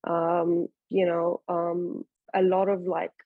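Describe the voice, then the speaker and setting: Low gravelly woman from Iran, chatty. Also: completely flat delivery, takes it slowly, no echo, narrow, tinny audio. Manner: chatty, many fillers and restarts. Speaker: guest, bikini competitor. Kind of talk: casual interview talk.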